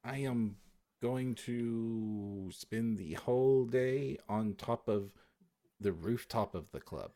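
A man playing a tabletop game, talking in a laid-back, drawn-out way. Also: mid-range voice, dark tone. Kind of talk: casual play talk.

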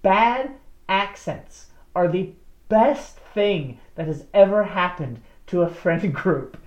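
A woman co-hosting a casual podcast about tabletop games, animated and laughing often as she talks. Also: fairly low pitch, slow pace. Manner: laughs often, animated, restarts mid-sentence. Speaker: podcast co-host. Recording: roomy, dull lo-fi sound.

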